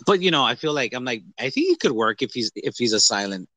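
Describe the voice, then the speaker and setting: A man with a bright, forceful voice, recorded close and dry. He chats casually as a podcast co-host, rambling with animation and swearing freely. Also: medium pitch, sing-song, quick pace, dry recording. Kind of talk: casual chat about films and games.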